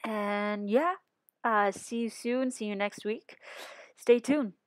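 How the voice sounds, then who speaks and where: A woman's voice: medium pitch, steady tone, slow pace, dry recording. A woman host introducing her interview video, hesitant with many fillers.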